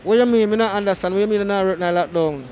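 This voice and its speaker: A woman with a Jamaican accent, interviewing passersby on a city street with a handheld mic, firing off quick probing questions. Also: mid-range voice, mellow, faint music behind. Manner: quick probing questions, show sign-off catchphrases. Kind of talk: vox pop interviewing.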